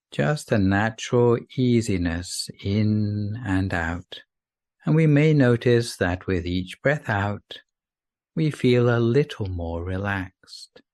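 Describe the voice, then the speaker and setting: Slow, low-voiced man guiding sleep meditation. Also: no echo, clean studio sound. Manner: soothing, unhurried, gentle, with long pauses. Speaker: meditation guide. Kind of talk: scripted guided sleep meditation.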